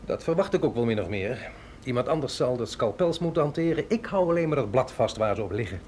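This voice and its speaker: A man's voice: mid-range voice, expressive, quick pace, a little mellow, slight background noise, lightly acted. An actor playing a capable, reluctant but dutiful male agent in a radio drama, with a dry, wry manner. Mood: wry, resigned. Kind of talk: Dutch radio drama acting.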